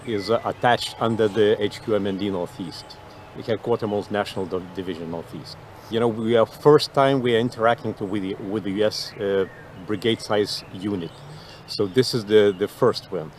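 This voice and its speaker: A man with a non-native English accent explaining things in an interview as a military officer, halting and repeating words. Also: lowish voice, a little hiss or hum. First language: not English.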